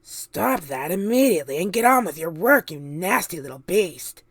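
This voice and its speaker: Bossy vain old auntie, slow and smug, loud-ish, woman putting it on. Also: mid-range voice, no room sound, pitched above the performer's own voice, natural voice.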